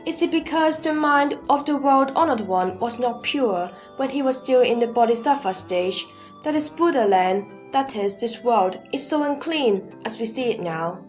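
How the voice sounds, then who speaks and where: A woman playing a questioning, respectful male disciple in a formal, measured scripture audio drama. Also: on the high side, animated, faint music behind, close to an everyday voice. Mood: puzzled.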